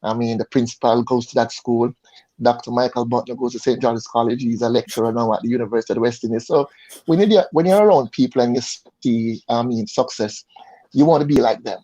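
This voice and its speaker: A Jamaican man speaks as a guest in a casual interview. His voice is mellow and relaxed, and he stops and repeats words while finding his point. The audio is slightly muffled and lo-fi, as from an online call. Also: mid-range voice. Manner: halting, repeats words as he searches for them. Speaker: interview guest, insurance advisor. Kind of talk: casual conversational interview answers.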